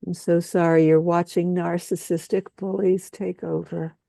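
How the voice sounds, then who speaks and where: Woman, low gravelly voice, slow solemn spoken word, long pauses, dry close sound. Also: flat delivery, warm tone, no room sound.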